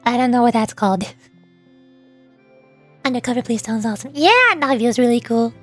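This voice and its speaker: High-pitched chatty woman streamer. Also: animated, a little fast, soft background music. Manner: rambling, chatty. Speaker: streamer and virtual YouTuber. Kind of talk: casual live stream chat while reading game dialogue.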